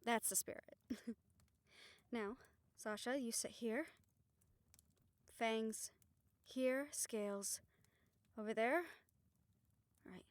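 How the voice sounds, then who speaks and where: A woman playing a calm, reassuring witch who leads a ritual in an audio roleplay, steady and encouraging as she gives instructions. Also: on the high side, slow, pauses often, no echo. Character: calm, reassuring female witch leading a soul-bond ritual.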